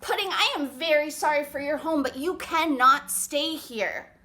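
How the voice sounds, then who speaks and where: A woman voicing an anxious, excitable female chipmunk in a picture book, earnest and emphatic. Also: high voice, normal speed, voice pushed up. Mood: worried. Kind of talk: picture book read-aloud.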